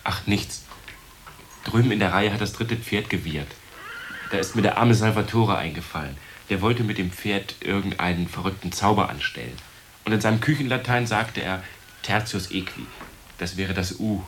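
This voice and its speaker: An actor playing an earnest, timid young novice monk in a radio drama, speaking modestly and hesitantly. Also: male voice, low voice, normal speed, fairly bright tone, slight background noise. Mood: hesitant, thoughtful. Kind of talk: German radio drama acting.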